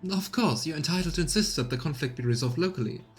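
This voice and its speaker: A man's voice: fairly high pitch, animated, quick pace, soft background music, lightly acted. A man voicing a courtly, self-assured female governor in a video game, speaking in formal, flowery and deferential phrases. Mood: measured, apologetic. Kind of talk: read-aloud game dialogue.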